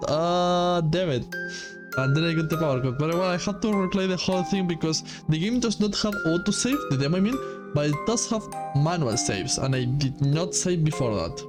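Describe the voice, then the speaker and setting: A man giving casual commentary and review as a gaming host, speaking haltingly and often rephrasing himself. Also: fairly high pitch, animated, music in the background.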